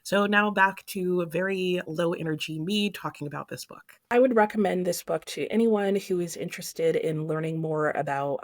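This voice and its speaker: A middle-aged woman giving a casual book review in a reflective, rambling way, often correcting herself. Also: sits low.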